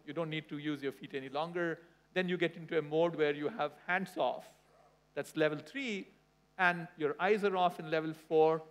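A man in his sixties with an Indian accent, speaking haltingly and deliberately as a panel moderator on a conference stage. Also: fairly high pitch, fairly bright tone. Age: 60s. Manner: halting, deliberate phrasing with frequent pauses. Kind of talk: panel introduction, semi-formal talk. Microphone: headset.

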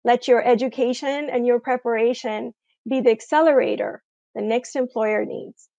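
A middle-aged woman giving a prepared, inspirational commencement address in a measured, earnest way with deliberate emphasis, speaking into an earphone mic indoors. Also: a little high, steady tone, vocal fry, mellow, no echo. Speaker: commencement keynote speaker, graduate of the school. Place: small room indoors, remote video recording. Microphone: wired earphones headset mic. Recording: dull, lo-fi video-call sound.

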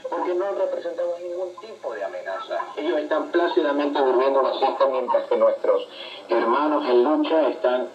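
A man's voice: mid-range voice, expressive, fast. A man giving political commentary, heard through a shortwave radio. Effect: radio reception. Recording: muffled, very noisy shortwave radio.

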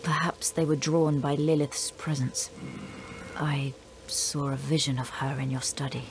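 An actress playing a serious, composed wandering heroine in a video game, speaking in a measured, matter-of-fact way. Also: female voice, low voice, flat delivery, a little hiss or hum. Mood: pensive. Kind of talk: video game dialogue acting.

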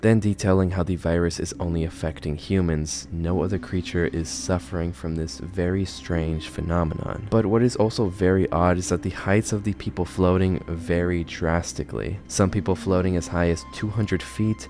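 A man in his twenties giving casual scripted commentary as a video host, close to a studio microphone. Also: deep voice. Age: 20s. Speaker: YouTube horror video host. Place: bedroom, indoors. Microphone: close studio microphone on a boom arm.

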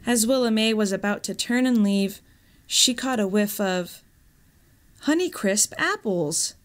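A woman reading a scripted story in a measured, expressive storytelling manner. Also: medium pitch. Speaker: story reader, actor.

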